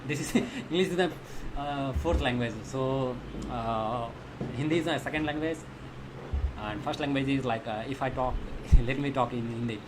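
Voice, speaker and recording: A man with an Indian accent, a native Thethi speaker, chats casually on a coding livestream in halting, repetitive English, searching for his words. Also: medium pitch, noisy background. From Magadha region of India. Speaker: live coding streamer.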